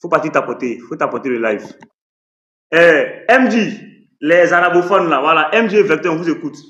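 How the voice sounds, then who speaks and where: A man's voice: a little high, expressive, a little fast, bright, forceful tone, breathy edge, dull audio. A man hosting a heated live-stream debate, interrupting and stammering repeated words as he moderates.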